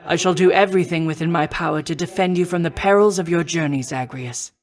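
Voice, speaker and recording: A woman playing a noble, protective war goddess in a video game, speaking in formal, measured, old-fashioned phrasing. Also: fairly low pitch, close to an everyday voice. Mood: composed, encouraging. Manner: formal, measured, archaic stately diction. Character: noble female war goddess, poised, protective, confident mentor. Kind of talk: scripted video game voice acting.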